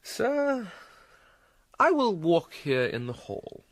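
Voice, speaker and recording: An actor playing a troubled, witty and melancholy young prince in a classical Shakespearean radio drama, speaking theatrically with a quick mind. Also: male voice, high voice, wildly swooping pitch, a bit bright, no room sound, exaggerated voice. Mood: mocking, playful. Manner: theatrical, quick-witted, shifting between dry mockery and reflection.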